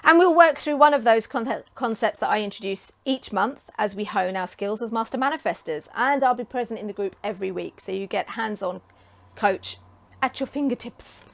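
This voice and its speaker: A woman in her forties from England talks casually to camera, chatty and enthusiastic with frequent ums, her voice getting hoarse. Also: on the high side, moderate pace, no room sound. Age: 40s. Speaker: creative coach and vlogger.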